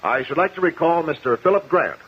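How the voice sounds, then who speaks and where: An actor playing a calm, methodical lawyer with a formal, probing manner in a 1950 radio courtroom drama. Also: male voice, fairly high pitch, a little fast, lightly acted. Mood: formal, measured. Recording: muffled, hissy old radio recording.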